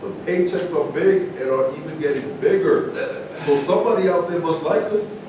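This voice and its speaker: A man's voice: normal speed, natural voice. A man acting in a staged reading as a brash, unapologetic ex-boxer in conversational dialogue. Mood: dismissive. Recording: very echoey room, distant, rumbling noise.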